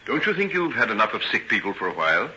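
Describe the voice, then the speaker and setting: A tortured noble doctor in an old radio play, deep voice, earnest and formal, muffled hissy recording. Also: male voice, very animated, quick pace, sharp tone, heavily acted. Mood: tender, sorrowful. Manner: earnest, formal, theatrical phrasing. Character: tormented, guilt-ridden gentleman doctor, tender and self-sacrificing. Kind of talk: old-time radio drama acting.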